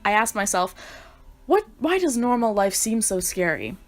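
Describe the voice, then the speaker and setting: A woman reading the lines of a gentle, earnest young male prince in a story game, softly and sincerely, her voice a little hoarse from a sore throat. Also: mid-range voice, very animated, normal speed, soft background music, natural voice.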